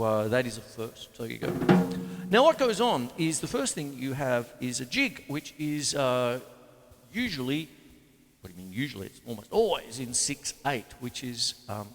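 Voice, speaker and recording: A man's voice: mid-range voice, big-room sound. A man hosting a casual drum lesson to camera, chatty and rambling with jokey asides. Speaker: drum teacher and show host. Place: home studio with drum kit.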